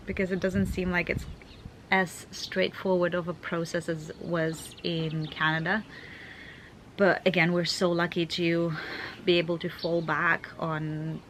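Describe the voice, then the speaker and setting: A young woman talks casually to her camera, her voice sitting a little low. She chats along, thinking aloud and restarting sentences as she goes. A faint hiss runs under the recording. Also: fairly bright tone. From Ukraine. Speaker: vlogger. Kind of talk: casual vlog chat to camera.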